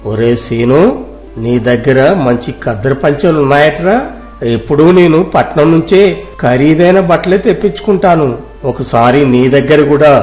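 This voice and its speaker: A man's voice: medium pitch, a little mellow, close to an everyday voice. A man voicing an arrogant, scornful rich village landlord in a story, haughty and contemptuous in his manner. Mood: condescending. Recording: roomy echo, music behind.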